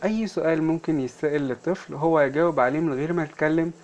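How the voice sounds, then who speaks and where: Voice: medium pitch, racing, a little mellow. A teacher with an Egyptian Arabic accent explains a children's workbook, repeating phrases word by word and switching between English and Arabic.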